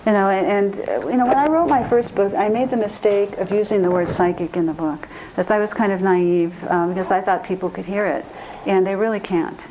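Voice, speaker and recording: A woman speaks informally to listeners as a spiritual teacher, in an earnest and emphatic manner. She has a mid-pitched voice and often repeats phrases for stress. There is some background noise in the recording. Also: fairly quick, warm tone, rough recording. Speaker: therapist and intuition teacher. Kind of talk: informal spiritual talk to an audience.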